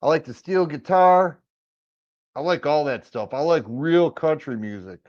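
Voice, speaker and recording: Raspy-voiced man, rambling stream host. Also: on the high side, unhurried, darkish tone. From Michigan. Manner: rambling, with frequent stammered restarts. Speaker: firearms livestream host. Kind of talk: casual livestream chat answering viewers. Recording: dull lo-fi stream audio.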